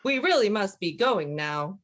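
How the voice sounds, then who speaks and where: A woman's voice: mid-range voice, very animated, measured pace, fairly bright tone. A woman voicing a polite, formal alien visitor. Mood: courteous.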